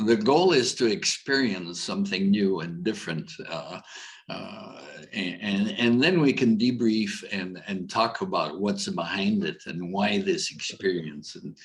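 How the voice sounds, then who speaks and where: Deep-voiced middle-aged man, rambling talk. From Algeria. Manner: rambling, many fillers and restarts. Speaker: coach and conference speaker. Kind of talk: casual conference talk. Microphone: video call.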